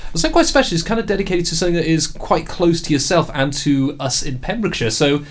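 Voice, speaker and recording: A man's voice: fairly high pitch, lively intonation, quick pace. A man presenting informally and live as a radio show host in a studio.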